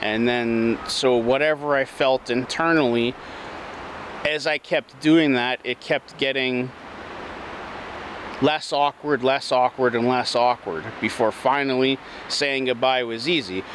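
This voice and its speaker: A man with a mid-pitched voice shares an unscripted motivational talk at a slow pace. He is reflective and a bit rambling, pausing as he works through his thoughts. Clear outdoor noise with vehicles sits behind his voice. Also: fairly even intonation, a bit bright, poor audio. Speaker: video channel host.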